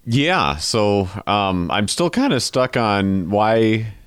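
A male podcast host with a low voice chats casually in an improvised comedy bit. He sounds hesitant and bemused as the straight man, recorded very dry. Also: very animated, slow pace, clean tone, dry recording.

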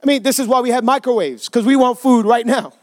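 Man, pastor, very high voice, sermon, conversational, prompts the congregation. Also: quick pace. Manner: conversational, asks the congregation for responses. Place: church service.

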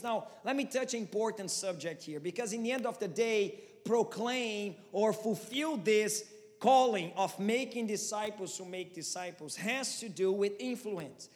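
Brazilian-accented pastor preaching in a reverberant hall. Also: male voice, high voice. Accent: Brazilian Portuguese. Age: 30s. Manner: impassioned preaching with rising rhetorical questions. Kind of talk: sermon.

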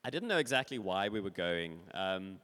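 A man in his forties from Africa, sharing his testimony at a church service in a relaxed, rambling way. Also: sits low, moderate pace. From South Africa. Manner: casual, rambling, with fillers and laughs. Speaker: visiting speaker. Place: church hall. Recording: long hall reverb.

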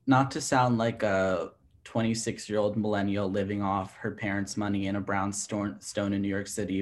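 A young man of twenty, co-hosting a casual chat podcast. He rambles a bit, leans on filler words and laughs easily between points. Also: fairly low pitch. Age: 20s. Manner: chatty, heavy use of "like", laughs easily. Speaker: podcast co-host.

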